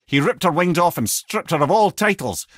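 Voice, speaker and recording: An old, learned Scottish advisor from a fantasy video game. His voice is husky and swings expressively up and down as he spins tales with wry, colloquial eloquence. The sound is dry, with no room around it. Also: a little high, animated, normal speed, no echo. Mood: storytelling. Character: witty, learned old severed-head advisor and storyteller. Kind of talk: video game character dialogue and storytelling.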